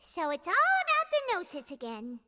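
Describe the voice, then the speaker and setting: A woman voicing a small childlike fairy companion in a video game, squeaky, excitable and blunt. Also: moderate pace, heavily acted. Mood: puzzled, blunt. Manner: refers to self in third person. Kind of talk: video game character dialogue. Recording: music behind the voice. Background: background music.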